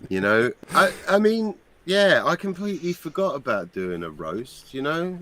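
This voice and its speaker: A man's voice: a little high, very animated, moderate pace, bright, forceful tone. A man joking and teasing crudely in casual stream banter. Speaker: guest on a stream.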